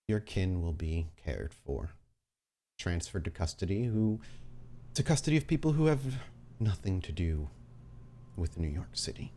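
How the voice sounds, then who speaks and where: Man playing a smug, low-voiced vampire crime boss. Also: big pitch swings, mellow, full character voice. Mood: smooth assurance. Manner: measured, taunting, formal.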